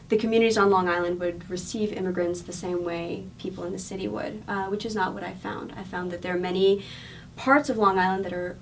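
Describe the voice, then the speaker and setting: A woman's voice: mid-range voice, creaky, a little hiss or hum. Earnest woman guest, distant mic. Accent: non-local accent. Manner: earnest, explanatory, repeats words while thinking. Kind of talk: radio interview answers.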